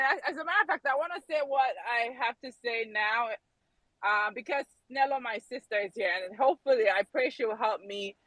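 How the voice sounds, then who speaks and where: A woman's voice: fairly high pitch, pushed and bright, no room sound, low-quality recording. A Nigerian woman chatting playfully in an audio chat room, greeting people and offering blessings, mixing English and Igbo. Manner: playful, laughing, blessing-giving. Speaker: participant in an audio space. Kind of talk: casual chat, switching into Igbo.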